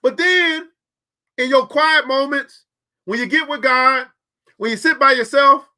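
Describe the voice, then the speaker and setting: High, bright-voiced man in his forties. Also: unhurried. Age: 40s. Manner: preachy, repeats phrases, talks straight to the audience. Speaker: podcast host. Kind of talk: motivational money talk.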